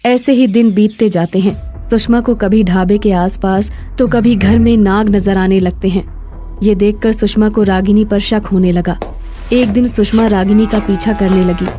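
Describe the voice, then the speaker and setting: मृदु स्वर वाली महिला कथावाचक. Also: female voice, medium pitch, fairly even intonation, normal speed, warm tone, faint music behind. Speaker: narrator. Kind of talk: scripted story narration.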